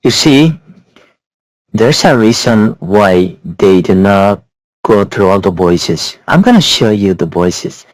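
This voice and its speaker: A man giving a casual, blunt spoken software review. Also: on the low side, lively intonation, takes it slowly, no echo, rough recording. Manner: blunt, repetitive criticism.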